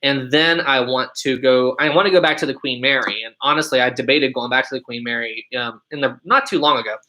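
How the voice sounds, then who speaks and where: Young man, deep voice, casual live stream host, chatty, reading out chat questions. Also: fairly even intonation, a little fast, pushed and bright, poor audio. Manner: chatty, answers viewer questions one by one.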